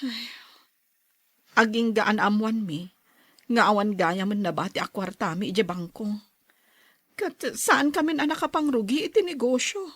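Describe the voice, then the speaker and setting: A woman's voice: on the high side, lively intonation, a little slow, long pauses, a bit bright, dry recording. A woman playing a worried neighbor down on her luck. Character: neighbor woman fallen on hard times after spending. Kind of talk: audio drama acting.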